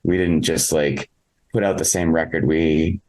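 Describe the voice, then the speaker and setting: Very deep-voiced man, reflective interviewee. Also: fairly even intonation, a little mellow. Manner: halting, reflective, thinking aloud. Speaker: interviewed musician, singer in a band. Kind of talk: casual interview talk.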